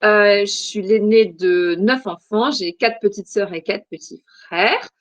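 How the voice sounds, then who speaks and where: A woman's voice: medium pitch, a little slow, dry recording. Hesitant Belgian woman in dry sound. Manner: hesitant, many "euh" fillers, thoughtful. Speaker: guest. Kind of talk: casual interview answers.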